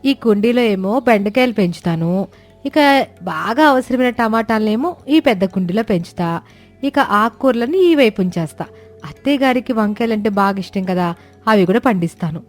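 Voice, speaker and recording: A woman's voice: medium pitch, expressive, moderate pace, soft background music, natural voice. Cartoon daughter-in-law: young, caring, determined, voiced by a woman. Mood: earnest. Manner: earnest, respectful. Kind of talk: animated storybook voice acting.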